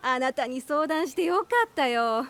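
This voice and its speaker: A woman playing a friendly, busy noodle shop worker with a brisk, grateful manner in an anime audio drama. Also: high voice, a bit bright. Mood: cheerful, busy.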